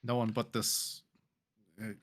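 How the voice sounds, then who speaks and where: A man playing a tabletop game, asking questions with hesitant pauses. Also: lowish voice, completely flat delivery. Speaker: player. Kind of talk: casual chat in a tabletop game.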